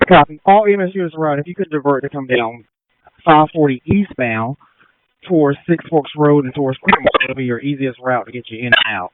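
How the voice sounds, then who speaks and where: Over a phone-like radio channel, a man talks very quickly at a middling pitch. He coordinates emergency units in a brisk, businesslike manner. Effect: two-way radio. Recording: phone-like narrow-band two-way radio.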